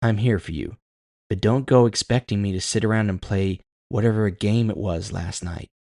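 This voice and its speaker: A man's voice: fairly low pitch, moderate pace, creaky, dark tone, no room sound, studio quality. A man voicing a fierce, blunt but caring tough woman who swears and challenges, in an audio story. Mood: stern.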